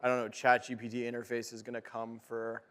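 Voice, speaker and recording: A man of middling pitch interviews guests on stage as a panel moderator. His questions come out informal and loosely phrased, with stops and restarts along the way. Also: monotone, brisk, fairly bright tone. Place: stage at a conference.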